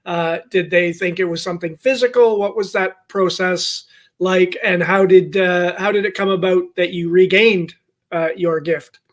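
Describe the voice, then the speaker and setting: A man's voice: high-pitched, steady tone, moderate pace. A man hosting a podcast, asking long, meandering questions full of fillers. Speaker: podcast host. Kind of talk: interview questions.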